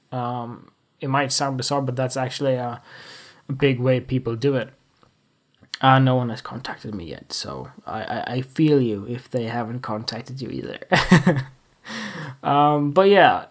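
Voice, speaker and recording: A young adult photographer from Sweden, talking casually to camera in a rambling, chatty way full of fillers and restarts. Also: medium pitch. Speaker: freelance photographer. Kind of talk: casual vlog talk to camera.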